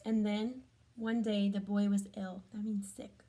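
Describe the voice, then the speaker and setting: A woman reading a storybook aloud to children, adding gentle chatty comments and questions for young listeners. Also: mid-range voice, little pitch movement, unhurried, rough recording. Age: adult.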